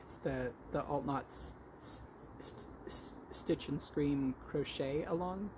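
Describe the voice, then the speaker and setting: Male vlog host, stutters, slow halting delivery, casual chat, clear background noise. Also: fairly high pitch. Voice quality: stutter with repeated sounds and blocks. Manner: halting, self-correcting. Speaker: vlog host showing crochet work. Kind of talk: casual podcast-style chat.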